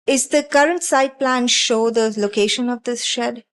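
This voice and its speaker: A woman's voice: fairly high pitch, moderate pace. A woman on a planning board questioning an applicant, hedging her points with many fillers. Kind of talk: questioning at a public meeting.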